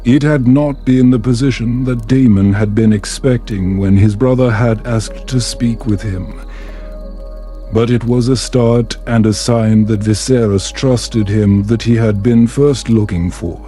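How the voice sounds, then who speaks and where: A man reading a scripted bedtime story in a calming, soothing, measured way. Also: mid-range voice, faint music behind. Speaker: storyteller, narrator.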